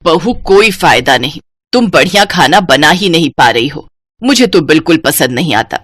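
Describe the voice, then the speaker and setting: A woman's voice: sits low, lively intonation, moderate pace, a bit bright, breathy edge, voice pushed down, heavily acted. A woman voicing a critical, bossy elderly Indian mother-in-law in a story. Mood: scolding, dissatisfied. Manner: complaining, bossy.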